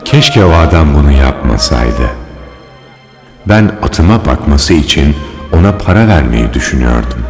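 Wise cartoon master, very deep, calm, solemn, kindly, long echoing reverb. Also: male voice, moderate pace, music in the background. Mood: regretful. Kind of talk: dubbed cartoon acting.

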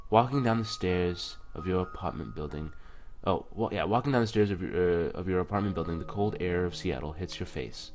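Man, very low pitch, game commentary, reading aloud, self-correcting, music behind. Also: a little fast, hardly any pauses, darkish tone. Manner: stumbles over the text and corrects himself. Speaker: let's play host. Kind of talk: reading visual novel text aloud with casual reactions. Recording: music under the voice.

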